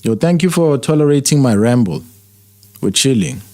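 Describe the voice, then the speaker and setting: A man hosts a casual livestream and reacts to a video. He rambles at an uneven pace, with sarcasm running through his talk. His voice is mid-pitched and sits dry and close on the mic. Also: lively intonation, moderate pace, a little mellow, no echo. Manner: rambling, sarcastic, loosely paced. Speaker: livestream host.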